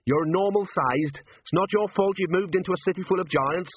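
A man's voice: sits high, moderate pace, narrow, tinny audio, slight background noise, pitched above the performer's own voice, close to an everyday voice. A man voicing an overweight, fretful police sergeant in an audiobook, moaning, sarcastic and panicky. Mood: strained sarcasm. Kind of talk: audiobook character voice. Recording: band-limited, radio-like sound.

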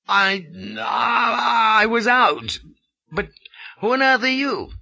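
Guy voicing a flustered young officer, stammery, pitched way up. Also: huge pitch swings, measured pace, bright, forceful tone, no room sound, dull audio, full character voice.

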